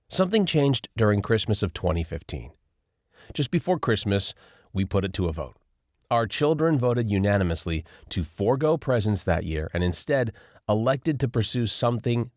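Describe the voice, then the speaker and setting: A man narrating a first-person nonfiction audiobook in an expressive, lively reading style. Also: on the low side, no room sound, studio quality.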